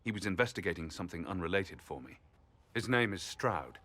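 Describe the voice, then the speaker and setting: Deep-voiced weary, resolute champion. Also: male voice, expressive, brisk, bright, forceful tone, heavily acted. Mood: grave. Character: weary, resolute male champion burdened by duty. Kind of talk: dramatic video game cutscene acting.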